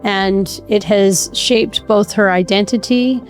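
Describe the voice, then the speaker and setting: A woman's voice: medium pitch, slow pace. A woman podcast host reading a scripted, admiring introduction to an episode. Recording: faint music underneath.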